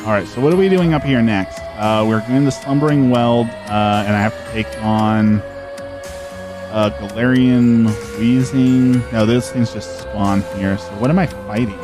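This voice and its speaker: A man's voice: medium pitch, unhurried, music in the background. A middle-aged man streaming a game, chatting casually and earnestly with viewers into a desk microphone, with many fillers and restarts. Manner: outgoing and extroverted. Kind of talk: casual live-stream chat answering viewers while gaming.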